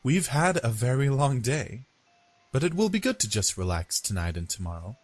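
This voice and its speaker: A man's voice: medium pitch, sing-song, normal speed, soft background music. A man playing a kindly, weary, polite young man in a visual novel. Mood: weary relief.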